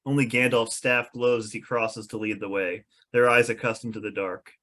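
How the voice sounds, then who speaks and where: A man's voice: on the low side, fairly even intonation, moderate pace, bright, forceful tone. A man reading stage directions aloud as narrator at a script table read.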